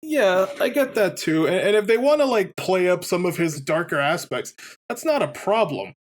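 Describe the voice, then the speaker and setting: Male let's play commentator, higher pitch, loose conversational delivery, rambling opinions with swearing. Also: sing-song, normal speed. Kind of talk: casual opinionated game commentary chat.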